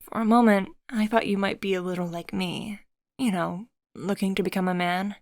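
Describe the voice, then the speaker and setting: A woman's voice: medium pitch, steady tone, normal speed, darkish tone, no echo, natural voice. A woman voicing an anxious, self-loathing middle-aged woman with a hesitant, stammering delivery in a story. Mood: guarded.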